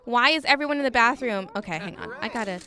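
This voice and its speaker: A woman's voice: fairly high pitch, expressive, fast, sharp tone, smooth. A young woman co-hosting a game stream, giving wry, exasperated commentary in casual chat. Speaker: co-host playing the game. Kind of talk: casual chat while playing. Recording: crowd noise behind.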